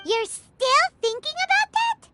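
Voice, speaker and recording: A woman voicing a tiny, childlike, outspoken fairy companion with a squeaky voice in a video game. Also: big pitch swings, measured pace, over loud music, heavily acted. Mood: incredulous.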